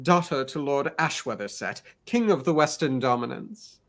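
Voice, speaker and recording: A man's voice: mid-range voice, expressive, normal speed, fairly bright tone. A man voicing a pompous, fussy nobleman in a theatrical, affected tone while reading a story aloud.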